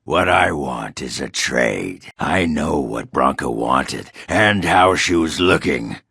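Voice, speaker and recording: An actor voicing a crude, hard-drinking, middle-aged dwarf warrior in a video game, gruff and raspy, blunt and sardonic. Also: male voice, a bass voice, bright, forceful tone, no room sound, clean studio sound, heavily acted. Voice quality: gravelly, raspy. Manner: blunt, boastful, swearing, sardonic. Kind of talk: video game character dialogue.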